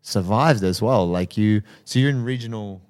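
A man hosting a podcast, warmly effusive in introducing and reacting to his guest. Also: on the low side, moderate pace. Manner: effusive and enthusiastic. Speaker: podcast host. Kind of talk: casual podcast interview.

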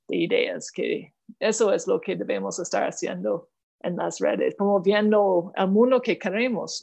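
A woman's voice: medium pitch, vocal fry, a little mellow. Canadian-accented woman speaking careful Spanish. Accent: Canadian English. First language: English. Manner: thoughtful, frequent hesitations and restarts. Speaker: diplomat guest. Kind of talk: interview answers.

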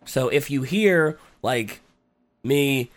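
A man co-hosting a podcast, talking casually with a raspy, congested voice while getting over a cold, hesitant and full of fillers. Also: mid-range voice, expressive, drawn-out words. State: recovering from a cold. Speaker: podcast co-host. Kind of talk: casual chat.

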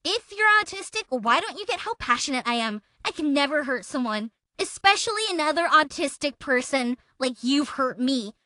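A woman's voice: high voice, very animated, moderate pace, hardly any pauses, sharp tone, no room sound, studio quality, exaggerated voice. A woman dramatically reading the texts of an abusive, self-pitying woman, in an accusatory, aggrieved manner. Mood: threatening, aggrieved. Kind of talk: dramatic reading of a text exchange.

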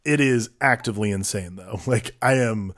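A man's voice: lowish voice, moderate pace, a bit bright. A man co-hosting a casual film podcast, enthusiastic and rambling, often laughing. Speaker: podcast co-host.

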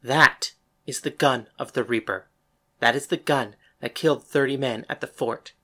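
Woman acting a sharp, forceful gunslinger leader. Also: extremely low pitch, full character voice. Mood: grave. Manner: forceful, commanding, drawn-out emphatic challenges. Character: fierce, commanding female gunslinger leader, protective and defiant. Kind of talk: dramatized western audiobook acting.

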